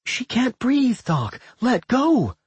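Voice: mid-range voice, very animated, measured pace, dark tone, slightly rough, dry recording, pitched above the performer's own voice, exaggerated voice. A narrator voicing an anxious, caring young man in a fantasy audiobook, concerned and earnest. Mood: panicked.

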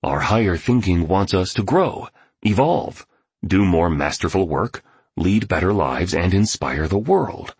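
A man voicing an earnest, enthusiastic male artist in an audiobook, with a husky voice. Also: sits low, animated, unhurried, a little mellow, no room sound, heavily acted. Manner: earnest, eager explaining.

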